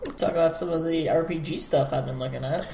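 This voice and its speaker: A man's voice: on the high side, fast, dark tone. Male podcast co-host, easygoing and brief in his remarks, roomy recording with noise behind. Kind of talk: casual gaming chat. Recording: roomy echo, clear background noise.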